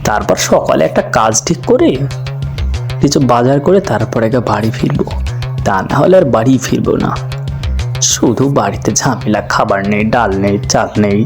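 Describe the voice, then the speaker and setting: A lazy, poor cartoon villager, acted by a man, wandering at night. He rambles aloud to himself in a medium-pitched voice. His talk is a grumbling, complaining monologue. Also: natural voice. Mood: tired, grumbling.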